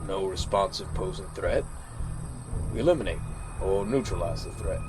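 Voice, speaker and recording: An actor playing a haunted American soldier, telling his story in a halting, stammering dramatic monologue with an American accent. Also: low-pitched, over music, natural voice, rough recording. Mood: tense, hushed. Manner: halting, stammering, repeats words. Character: haunted male American soldier recounting a deadly standoff. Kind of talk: dramatic first-person monologue.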